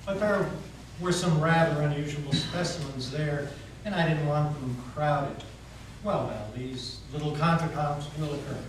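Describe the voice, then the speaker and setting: A man's voice: on the high side, a little slow, far from the mic, natural voice. A man playing a sly, smugly polite devil in a stage reading, with a simpering, mock-pious manner. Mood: apologetic simper. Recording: echoey hall from afar, background noise.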